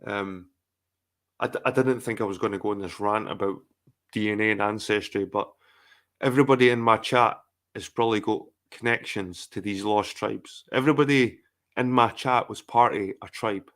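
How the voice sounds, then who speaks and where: A man's voice: lowish voice, moderate pace, a bit bright. Rambling, profane middle-aged man. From England. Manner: profane, rambling, repeats himself. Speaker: livestream host. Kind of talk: casual ranting livestream chat.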